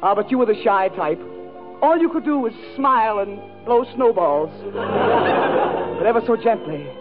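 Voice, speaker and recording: A man's voice: high voice, animated, measured pace, narrow, tinny audio, pitched above the performer's own voice. A man playing a melodramatic, gushing lover, sighing and repeating himself in a 1940s radio comedy monologue. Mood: gushing, yearning. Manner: overwrought, sighing, repeating phrases for effect. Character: melodramatic, gushing male lover reciting lost romance. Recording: thin vintage radio broadcast with music, audience.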